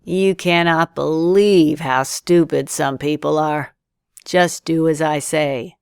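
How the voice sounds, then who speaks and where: A cunning trickster of a thief from a storybook, played by a woman. The voice sits low and is very clean, with a sly, deliberate delivery. It is recorded dry. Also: steady tone, gravelly, clean tone, dry recording, full character voice. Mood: sly, scornful. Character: cunning male thief, smooth-talking trickster.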